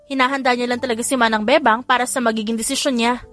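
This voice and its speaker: A woman's voice: fairly high pitch, steady tone, fast, a bit bright, soft background music, lightly acted. A woman voicing a sweet, teasing younger sister in an audiobook, mixing in English phrases. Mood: annoyed, teasing. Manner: mixes Tagalog with English phrases. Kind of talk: audiobook character dialogue.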